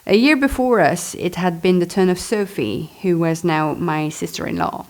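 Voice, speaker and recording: A woman's voice: lowish voice, normal speed, a little mellow. A woman reads her own memoir aloud, expressively, adding chatty, self-deprecating asides. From Belgium. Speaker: author reading and commenting on own memoir.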